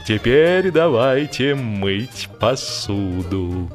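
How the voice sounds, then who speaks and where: A man voicing a carefree, boisterous young fellow in an audiobook, with a breezy, drawn-out, chuckling delivery. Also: medium pitch, sing-song, soft background music. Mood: breezy, bossy. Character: carefree, thick-skinned, boisterous young male friend, jolly. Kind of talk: audiobook character acting.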